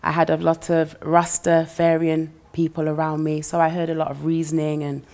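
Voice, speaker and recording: A woman's voice: deep voice, completely flat delivery, normal speed. A woman from England, chatting as a guest musician and laughing easily between phrases. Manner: warm, chatty, laughs between phrases, uses "you know" a lot. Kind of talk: relaxed interview chat.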